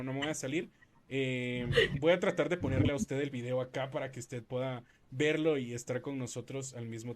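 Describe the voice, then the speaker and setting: Guy hosting a talk show, jokey, talks right to the viewers, bit of noise behind. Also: mid-range voice. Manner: joking, laughs often, then addresses viewers directly. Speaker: host. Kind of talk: casual political chat.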